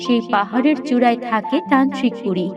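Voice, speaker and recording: A woman's voice: a little high, fast talker, lightly acted. A woman voicing a kindly, grateful fairy in a Bangla cartoon fairy tale. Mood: cautioning. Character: kindly, grateful female fairy freed from a curse. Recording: dull lo-fi audio under loud music.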